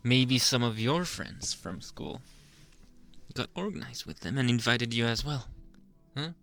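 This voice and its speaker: Slow-talking, flirty reformed bully played by a man. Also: medium pitch, expressive, faint music behind. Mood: flirty, hopeful. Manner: soft, halting confession with breathy chuckles and trailing phrases. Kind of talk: intimate ASMR roleplay monologue.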